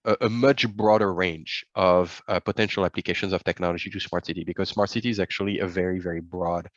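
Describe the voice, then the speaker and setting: Halting, deep-voiced man presenting slides. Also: fairly even intonation, no room sound. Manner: halting, many fillers and restarts. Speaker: webinar presenter. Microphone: video call. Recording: dull, dry lo-fi webinar audio.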